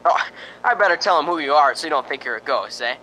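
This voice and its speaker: An actor playing a chatty, slightly nervous ghost-hunting radio host in a radio drama, hesitant and conversational. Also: male voice, fairly high pitch, lively intonation, fast talker, bright, forceful tone, a little hiss or hum, poor audio. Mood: genial, informal. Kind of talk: radio drama acting, on-air commentary.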